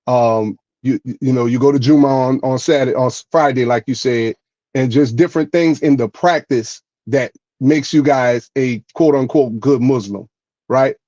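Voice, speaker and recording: A man co-hosting a podcast who explains his views in a measured way, often checking in with "right?". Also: mid-range voice, darkish tone, no echo, dull audio. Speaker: podcast co-host. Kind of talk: casual podcast conversation.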